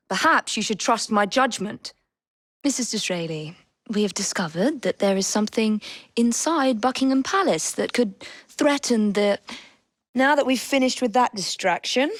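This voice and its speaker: Casting: woman playing young Victorian female assassin for a game, English accent, composed, determined, dryly witty, mid-pitched, very dry studio sound. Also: no echo, natural voice. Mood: grave, subdued. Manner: crisp, formal, composed phrasing. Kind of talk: dramatic video game voice acting.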